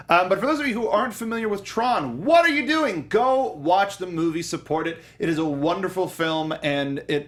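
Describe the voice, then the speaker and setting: A young man co-hosting a casual movie review show, enthusiastic and rambling, often restarting his sentences. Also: a little high. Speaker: podcast co-host. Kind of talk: casual film review chat.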